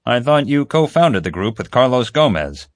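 A man's voice: mid-range voice, very animated, no room sound, clean studio sound, pitched above the performer's own voice, close to an everyday voice. A man voicing a stern, controlled investigator who questions firmly and with authority in an audiobook. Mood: firm questioning. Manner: measured, firm questioning.

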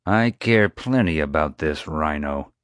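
Audiobook role: officious male security boss, read by a male narrator, gruff, curt and territorial, dry close sound. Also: lowish voice, animated, normal speed, no room sound, voice pushed up, lightly acted. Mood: territorial, angry. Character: pompous, gruff, territorial male theme park security chief. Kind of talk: audiobook character dialogue.

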